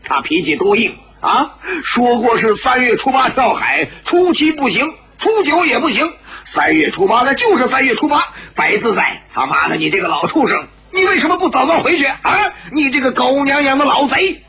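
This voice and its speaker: A man's voice: extremely high pitch, sing-song, moderate pace, hardly any pauses, muffled recording, pitched above the performer's own voice, full character voice. A man voicing a hot-tempered, cursing old martial-arts grandfather in a dramatised wuxia story, mocking and belligerent, with a bellowing, crude delivery. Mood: furious. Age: older.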